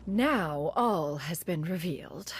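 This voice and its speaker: A woman's voice: lowish voice, lively intonation, takes it slowly, a little hiss or hum. A woman playing a proud, motherly elder crane immortal in a video game, speaking in formal, archaic, stately phrases. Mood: solemn, apologetic. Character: proud elder crane immortal, stern but tenderly maternal master. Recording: music behind the voice. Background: music.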